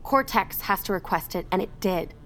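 An actress playing an earnest, practical crew leader in an audio drama, speaking directly and naturally. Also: female voice, mid-range voice, lively intonation, normal speed, a bit bright, a little hiss or hum, close to an everyday voice, low-quality recording. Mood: businesslike. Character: earnest, practical woman leading a trapped crew.

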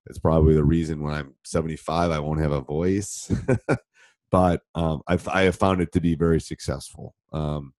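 Deep-voiced coach with a cold. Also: male voice, fairly even intonation, normal speed, a little mellow, no room sound. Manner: rambling, conversational, with many fillers and restarts. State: voice deeper than normal from a cold. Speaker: basketball coach and podcast host. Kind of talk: live Q and A, casual answers to listener questions.

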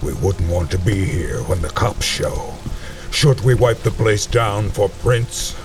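An actor playing a slow, menacing brute in a radio drama, talking low and deliberately. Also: male voice, lively intonation. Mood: gruff. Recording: distant voice over music, rain.

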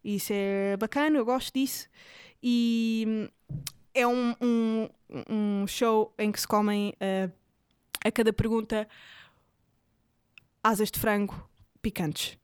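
A woman's voice: fairly high pitch, steady tone, slow, pauses often, darkish tone, smooth, dry recording, studio quality. A woman with a European Portuguese accent, hosting a podcast and chatting casually, rambling with lots of fillers and English words. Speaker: podcast host. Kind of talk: casual chat recommending videos.

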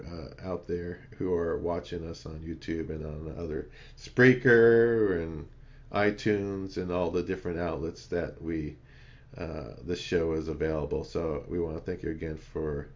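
A man's voice: sits low, a little mellow, highs cut off. A man co-hosting a casual podcast chat, rambling and restarting his sentences. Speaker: podcast co-host.

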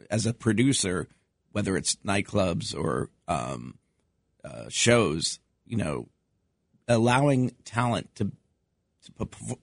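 A man's voice: on the low side, creaky, husky, no room sound, clean studio sound. A man speaking as a producer guest in an interview, giving brief replies.

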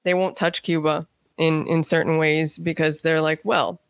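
Female interview guest, writer, slightly low pitch, conversational animated storyteller, dry close sound. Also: moderate pace, creaky, no room sound.

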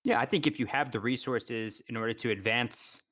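A man's voice: mid-range voice, a little fast, a bit bright. An American man hosting a sports podcast in a conversational interview style.

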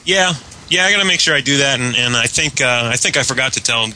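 A man chatting casually on a podcast about online gaming, telling things in a rambling, halting way. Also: medium pitch, expressive, bright, forceful tone, a little hiss or hum, poor audio. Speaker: podcast panelist.

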